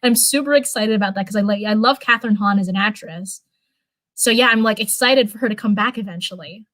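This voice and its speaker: A young woman chatting casually on a livestream, rambling with lots of fillers and restarts, her voice getting hoarse. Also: mid-range voice, brisk, fairly bright tone, no echo. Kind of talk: casual livestream chat, answering viewers.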